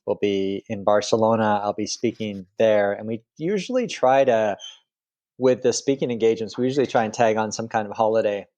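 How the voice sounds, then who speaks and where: Casting: middle-aged Canadian-raised man, lowish voice, easygoing and meandering, video call. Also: darkish tone. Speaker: guest author. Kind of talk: interview conversation.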